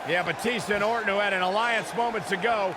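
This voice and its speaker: A man's voice: high voice, fast talker, lightly acted. A man playing a dramatic, alarmed play-by-play wrestling announcer in a video game, speaking emphatically. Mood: dramatic, excited. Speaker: lead play-by-play wrestling commentator. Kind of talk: excited sports commentary in a video game. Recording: loud arena crowd noise behind. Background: roaring wrestling crowd.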